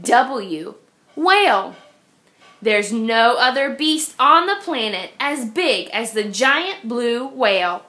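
A woman reads rhymes from a children's picture book in a bright, forward voice. She takes her time, with a very expressive, sing-song storyteller's delivery that swings widely in pitch. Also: slow. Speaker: read-aloud storyteller for young children.

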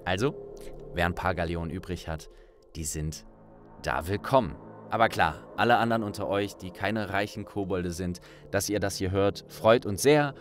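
A man's voice: sits low, normal speed, soft background music. A man hosting an audiobook project, speaking casually and directly to his listeners. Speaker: audiobook narrator and host. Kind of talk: casual thank-you address to listeners.

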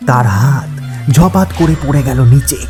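A man narrating a horror story in the first person, suspenseful and dramatic, with halting pauses. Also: mid-range voice, expressive, warm tone, soft background music.

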